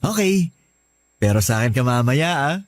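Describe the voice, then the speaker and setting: A man's voice: mid-range voice, sing-song, normal speed, a little hiss or hum, natural voice. An actor playing a lovesick man in a radio drama, coaxing and pleading with his married lover. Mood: elated. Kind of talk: Filipino radio drama acting.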